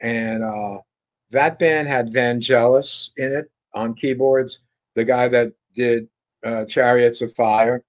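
An older man from France, speaking at an ordinary middle pitch, tells his stories in a loose, rambling way full of fillers and restarts. He comes through a video call from a home room lined with bookshelves. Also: fairly even intonation, slow. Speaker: guest guitarist. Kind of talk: casual interview storytelling.

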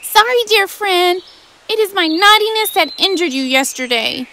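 Woman putting on a squeaky cheeky bunny. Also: moderate pace, pushed and bright, slight background noise, full character voice. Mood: sweet. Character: playful naughty little male rabbit. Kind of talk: animated children's story.

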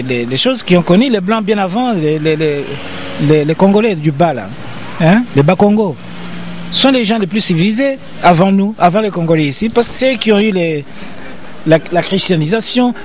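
A man's voice: a little high, darkish tone, a little hiss or hum. An older man, a professor, talking as an interview guest in an emphatic, lecturing way with frequent repetitions.

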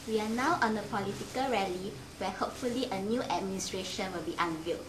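A woman's voice: medium pitch, quick pace, close to an everyday voice. A young woman playing an eager television field reporter in a mock live news report. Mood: upbeat. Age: young adult. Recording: noisy camcorder sound.

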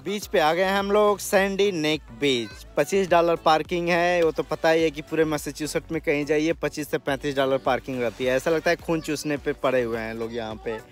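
A man's voice: on the high side, fairly quick, hardly any pauses, faint music behind. A man in his thirties chatting casually to a handheld camera outdoors at a campsite and a beach, laughing often and mixing in English. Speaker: family vlogger. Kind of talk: casual vlog narration. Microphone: handheld camera at arm's length.

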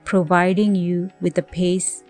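Very slow-paced woman guiding meditation over music. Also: lowish voice, little pitch movement. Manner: gentle, soothing, measured delivery with pauses. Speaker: meditation guide. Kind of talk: scripted guided meditation.

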